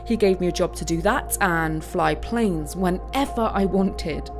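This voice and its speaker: An actress playing a wistful search and rescue pilot, telling her story in a reflective, conversational audio-play monologue with hesitant pauses. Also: fairly low pitch, music in the background. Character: wistful female search and rescue bush pilot recounting a tragedy.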